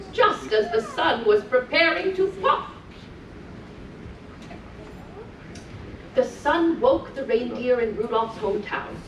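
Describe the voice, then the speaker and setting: Heard from a distance in an echoing room, a woman plays a cheerful, grandmotherly storybook wife of Santa. The voice is high and sing-song, gently encouraging as it tells rhymed tales to children and chats between verses. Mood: bright storytelling. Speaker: character storyteller at a children's event. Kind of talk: live storytelling to children, rhymed picture-book reading. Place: indoor room on a cruise ship. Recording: distant mic, roomy echo, faint noise.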